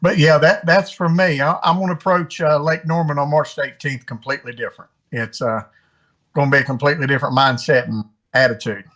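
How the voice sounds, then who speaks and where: Slightly high-voiced American man, casual guest. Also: fairly even intonation, a little fast, a bit bright, dull audio. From North Carolina, United States. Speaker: podcast guest, tournament angler. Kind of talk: casual interview chat.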